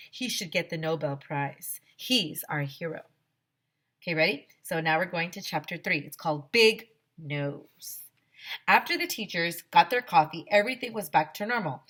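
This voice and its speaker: American woman reading aloud, very lively pitch. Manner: expressive, acting out dialogue. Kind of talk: children's story read-aloud.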